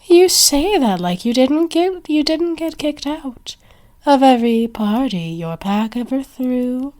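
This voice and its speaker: A woman's voice: high-pitched, animated, takes it slowly, darkish tone, pitched above the performer's own voice. A woman voicing a possessive, haughty female vampire in an ASMR roleplay, scornful and bossy in a dramatic way. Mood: mocking.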